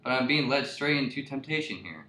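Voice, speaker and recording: Man playing a swaggering, mid-pitched tough husband. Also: lively intonation, brisk. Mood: emphatic, swaggering. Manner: repetitive, emphatic, answers with questions. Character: defensive, profane, swaggering street-tough husband. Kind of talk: satirical radio play acting. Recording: echoey room with loud noise.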